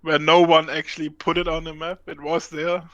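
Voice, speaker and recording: A man's voice: fairly high pitch, flat delivery, a little fast, a bit bright, no room sound, dull audio. A man playing alongside the host, casually giving advice about ships and gear. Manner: helpful, gives game advice. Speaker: co-player. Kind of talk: casual game chat.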